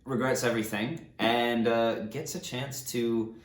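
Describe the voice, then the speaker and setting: A man's voice: mid-range voice, fairly even intonation, unhurried, slight background noise. A man talking casually to his viewers in a rambling, hesitant way, with a jokey, self-deprecating manner. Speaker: actor presenting a book reading video. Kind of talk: casual, rambling talk to camera. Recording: roomy, echoing indoor sound.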